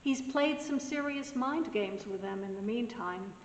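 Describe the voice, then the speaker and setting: A woman pastor giving a soft-spoken, gently conversational sermon message. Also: on the high side, unhurried, lo-fi sound, slight background noise. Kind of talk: recorded weekly sermon message. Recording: roomy echoing hall, mic at a distance.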